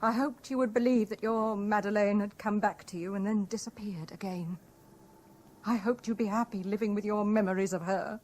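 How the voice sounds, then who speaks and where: A woman's voice: medium pitch, fairly even intonation, moderate pace, darkish tone, natural voice. Actress as a weary, plaintive young woman. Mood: sad, resigned. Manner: plaintive, restrained. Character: weary, distressed young woman pressed by an obsessive lover. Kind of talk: 1970s radio drama acting. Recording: noisy vintage radio broadcast recording.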